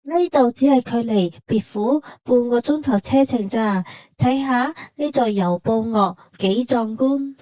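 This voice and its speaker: Mellow, higher-voiced Hong Kong woman narrating. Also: unhurried, hardly any pauses, dry recording. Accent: Hong Kong Cantonese. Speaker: travel vlog narrator. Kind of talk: casual scripted travel narration with teasing banter.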